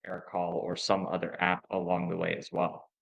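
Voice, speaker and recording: A man's voice: low voice, completely flat delivery, moderate pace, creaky, fairly bright tone, muffled recording. A man hosting a conversational interview, reflecting appreciatively and summing up what he has heard. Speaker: interviewer, host.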